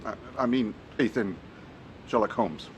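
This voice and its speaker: An actor playing a loyal, earnest gentleman doctor and detective's companion, speaking formally and with measure in a film drama. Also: lowish voice, unhurried, a little hiss or hum, lightly acted. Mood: earnest, steady. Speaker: actor, narrator. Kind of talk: dramatic narration and film dialogue.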